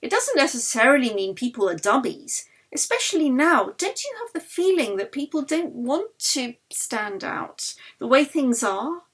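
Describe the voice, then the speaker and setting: A woman's voice: high-pitched, big pitch swings, normal speed, hardly any pauses, fairly bright tone, slightly rough, exaggerated voice. A woman voicing a sceptical woman violinist with a pointed, questioning manner, in an audiobook. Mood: questioning, concerned. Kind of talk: audiobook character dialogue.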